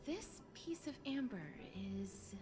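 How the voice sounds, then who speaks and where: A woman playing a gentle, soft-spoken young woman in a fantasy video game. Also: sits high, big pitch swings, takes it slowly, music in the background, exaggerated voice. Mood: gentle, hushed. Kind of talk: scripted video game dialogue.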